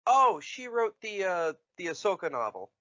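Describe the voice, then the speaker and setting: Guy on a podcast, bright forceful voice, halting, very dry slightly lo-fi sound. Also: high-pitched, very animated, no room sound. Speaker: podcast co-host. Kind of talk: casual chat.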